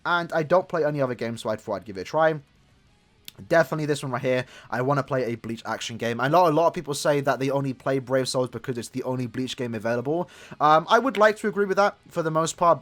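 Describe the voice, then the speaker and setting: A man's voice: medium pitch, fairly bright tone. A man in his twenties giving casual, rambling gameplay commentary as a gaming YouTuber, chatting to his viewers. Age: 20s.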